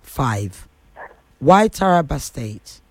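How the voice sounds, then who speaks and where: A man's voice: medium pitch, sing-song, very slow, no room sound. A man hosting a radio talk show, reading out reports and pressing his caller with questions. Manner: probing, cuts in with questions.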